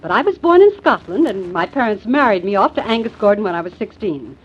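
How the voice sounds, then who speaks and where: An actress playing a troubled women's club president in a 1940s radio drama, confessing haltingly. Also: female voice, medium pitch, sing-song, husky. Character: prim middle-aged woman, club president, troubled. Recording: dull old radio recording.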